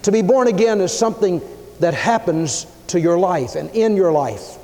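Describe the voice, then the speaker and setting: A man preaching a sermon, emphatic, swinging his pitch and loudness as he acts out the people he quotes. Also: animated, normal speed. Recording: long hall reverb.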